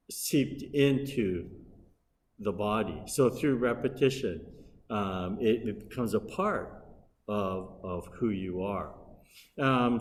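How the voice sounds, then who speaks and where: A middle-aged man giving a Buddhist dharma lecture as a minister, speaking in an unhurried, hesitant way with frequent pauses and restarts. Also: lowish voice, darkish tone, slight background noise. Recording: long reverb from a hall.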